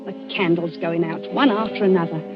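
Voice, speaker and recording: An actress playing a fussy, anxious, deferential housekeeper in a 1940s radio drama, with fretful, chattering politeness. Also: female voice, fairly low pitch, a little fast, close to an everyday voice. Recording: muffled 1940s radio broadcast, music behind.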